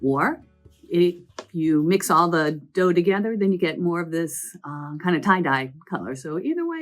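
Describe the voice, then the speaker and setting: A croaky woman with a summer cold, a children's librarian presenting a library program and telling the stories from each book in a chatty, lively way. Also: fairly low pitch. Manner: chatty, lively storytelling delivery.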